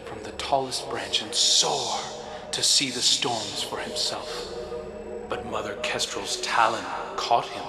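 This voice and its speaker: An actor playing a wistful, brooding prince in a video game, telling old tales in a hushed, deliberate, poetic way. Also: male voice, on the low side. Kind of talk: storytelling travel log in a video game. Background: loud music.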